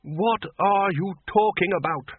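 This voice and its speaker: A blustering old male wizard in charge, acted out by a male reader, blunt and dogmatic, pitched up from a plain voice and husky. The sound is narrow, like a small radio, in a comic fantasy audiobook. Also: sing-song, moderate pace, dry recording, heavily acted. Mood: irritated, insistent. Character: gruff, bossy old male wizard, head of a college.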